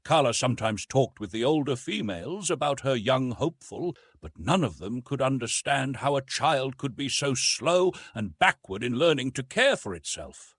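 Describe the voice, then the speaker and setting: Lively male audiobook narrator, slightly husky. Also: medium pitch, normal speed, hardly any pauses, no room sound, studio quality. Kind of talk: expressive scripted literary narration.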